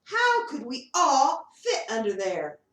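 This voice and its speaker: A woman's voice: high voice, huge pitch swings, takes it slowly, a bit bright, exaggerated voice. A woman voicing a small fussy ant in a storybook, curt and fussy, in an echoey room from a distance. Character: small fussy ant guarding his spot.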